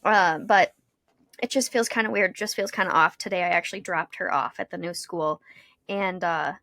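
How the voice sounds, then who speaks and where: A woman's voice: lowish voice, normal speed, sharp tone, no room sound. A young adult woman chatting casually as a podcast co-host.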